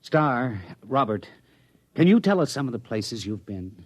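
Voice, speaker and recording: A man of science in a 1950s radio drama, with a middle-pitched voice. He is curious and intent, coaxing others along with a dry, wry edge. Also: big pitch swings, a little slow, darkish tone. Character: curious, urgent adult scientist friend, dryly wry.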